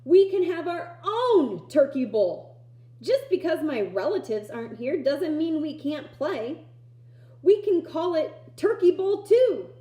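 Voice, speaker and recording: A woman voicing an eager, excitable young boy from a storybook, with emphatic exclamations, in an echoey room. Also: high voice, measured pace, voice pushed up.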